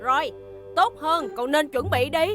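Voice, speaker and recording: Woman voicing a high, brash young boy racer. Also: normal speed, music in the background, natural voice. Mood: confident. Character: cocky, hot-tempered young boy racer. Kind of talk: Vietnamese cartoon dub.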